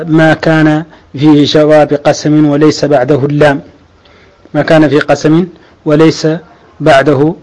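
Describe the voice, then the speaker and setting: A man teaching Arabic grammar, explaining slowly with drawn-out pauses and restarts, and reciting quoted verses. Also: fairly high pitch, a little mellow, low-quality recording. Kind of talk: lecture explaining a grammar text.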